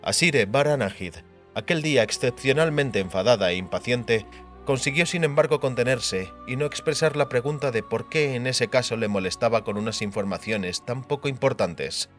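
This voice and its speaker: A man's voice: medium pitch, normal speed, soft background music. A man reading fantasy fiction as a scripted audiobook narration.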